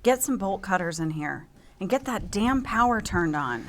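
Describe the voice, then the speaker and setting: A woman playing a tough, all-business forensic team leader of about forty, brisk and commanding, at a table read. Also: mid-range voice, animated, fairly bright tone, a little hiss or hum.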